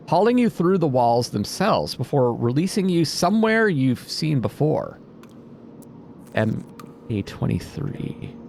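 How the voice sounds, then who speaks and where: A man giving let's-play commentary, reading game text aloud dramatically and thinking out loud as he plays. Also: on the high side, a little hiss or hum. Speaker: video game streamer playing a narrative game. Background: music behind the voice.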